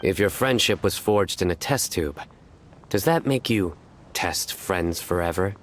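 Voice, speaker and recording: A man playing a stern, deadpan young man in a video game, solemn and proud. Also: sits low, a little slow, slight background noise. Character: stern deadpan young man who tells bad puns. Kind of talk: scripted game dialogue acting.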